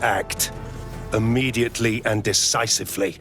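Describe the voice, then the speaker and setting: An actor playing a menacing, self-assured male security chief in a game, giving measured, commanding speeches with theatrical pauses. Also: on the low side, steady tone, a bit bright, soft background music. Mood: grand, resolute. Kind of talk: grand speech-making, game cutscene acting.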